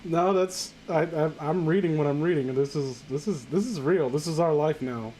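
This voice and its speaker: A high-voiced man hosts a casual mocking read-aloud. He sounds incredulous and often stumbles, breaking off to comment. There is some noise behind him. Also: normal speed, mellow, low-quality recording. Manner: incredulous, stumbling over the text. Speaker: host reading aloud and commenting. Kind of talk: casual mocking reading of amateur fan fiction with friends.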